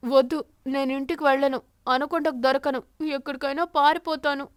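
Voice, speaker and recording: A woman's voice: sits high, steady tone, normal speed, no echo, studio quality, close to an everyday voice. A woman voicing a frightened, defensive crow in a moral cartoon, protesting and pleading. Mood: fearful, pleading.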